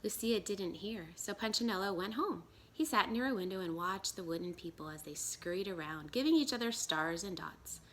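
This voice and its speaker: A woman reading a children's storybook aloud as a storyteller, expressive and emphatic. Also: medium pitch, moderate pace, few pauses, slight background noise, low-quality recording. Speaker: storyteller and school educator.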